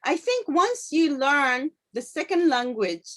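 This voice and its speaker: Middle-aged woman, Japanese accent, native Japanese speaker, lively swooping pitch, unhurried, enthusiastic interview guest. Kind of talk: casual conversational interview.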